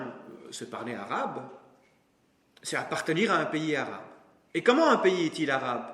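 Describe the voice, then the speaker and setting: A man's voice: a little high, a bit bright. A man, an Arabic speaker, giving a public lecture as a professor in an emphatic, didactic manner. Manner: didactic, emphatic, rhetorical questions and short punchy asides. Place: indoor lecture room. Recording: roomy, echoing lecture room.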